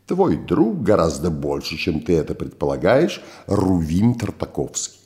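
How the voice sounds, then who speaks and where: A man's voice: low voice, sing-song, a little slow, a little mellow, voice pushed down, heavily acted. A man voicing a shrewd, wealthy older male merchant who talks down to people in a wheedling way. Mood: condescending. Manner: condescending, wheedling.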